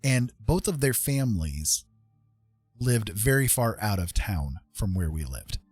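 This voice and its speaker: Male streamer, slightly deep and rough-edged, rambling informally through a personal anecdote, music underneath. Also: big pitch swings, a little slow, darkish tone, no echo. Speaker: livestream host. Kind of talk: casual rambling storytelling.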